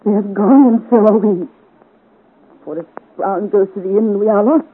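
A woman's voice: mid-range voice, moderate pace, slightly rough, lightly acted. An actress playing a frightened but resolute young woman in a melodramatic, urgent 1950s radio serial style. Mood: panicked, despairing. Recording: scratchy, muffled 1950s radio recording.

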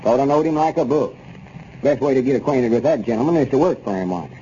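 An actor playing an aggrieved, stubborn older storekeeper in a 1930s radio comedy, with a rural Southern accent and folksy, grumbling talk. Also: male voice, medium pitch, fast talker, mellow, natural voice. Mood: bitter, indignant. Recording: noisy dull 1930s radio recording.

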